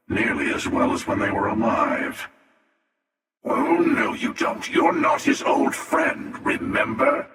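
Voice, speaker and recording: An actor playing a cynical, menacing older male hunter in a video game, with a gravelly rasp, a sardonic taunting manner and an echoing effect on the voice. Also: lowish voice, fairly bright tone, exaggerated voice. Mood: wry, superior. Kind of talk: video game character dialogue. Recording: echoing long reverb, distant sound.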